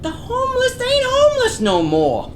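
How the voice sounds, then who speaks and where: Someone acting a lively, echoing gangster underling, Vinny. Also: extremely high pitch, moderate pace, full character voice. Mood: nervous, reporting. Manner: mobster slang with double negatives. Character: deferential gangster underling Vinny, slangy, nervous bearer of bad news. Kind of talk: comic radio theater acting. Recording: roomy, echoing, faintly noisy recording.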